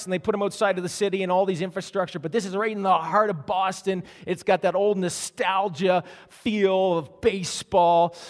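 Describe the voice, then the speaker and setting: A man preaching a sermon as pastor, telling stories in an animated way with frequent restarts. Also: high voice. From Canada. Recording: long hall reverb.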